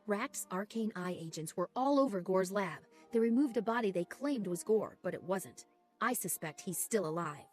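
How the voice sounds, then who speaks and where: An actress playing an earnest, determined young adventurer in a fantasy video game, speaking matter-of-factly. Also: female voice, mid-range voice, expressive, normal speed, soft background music, lightly acted. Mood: serious, suspicious.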